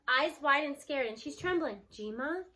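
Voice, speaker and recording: A woman reading a children's novel aloud at home, acting out the characters' lines and slowing down for emphasis. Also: high-pitched, expressive, pushed and bright. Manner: acts out dialogue with raised voice and slows for emphasis.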